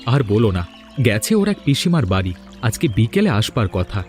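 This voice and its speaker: A man's voice: lowish voice, very animated, moderate pace, darkish tone. A man voicing an anxious, scheming village man in a cartoon fairy tale. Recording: background music under dialogue.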